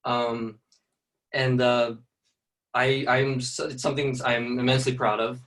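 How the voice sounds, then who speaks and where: Young man from the Philippines, flat soft voice, set back from the mic, casual. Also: mid-range voice, a little slow, distant mic. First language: Tagalog. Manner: soft-spoken, many fillers and "you know". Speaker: ballet dancer, guest. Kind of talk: casual interview.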